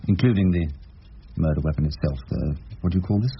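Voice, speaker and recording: An actor playing a wry, thoughtful English criminal barrister in a radio drama, speaking drily and deliberately with musing hums. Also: male voice, low-pitched, animated. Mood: measured, probing. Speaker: actor in the lead role and narrator. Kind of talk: radio drama narration and dialogue. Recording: dull, noisy lo-fi radio drama recording.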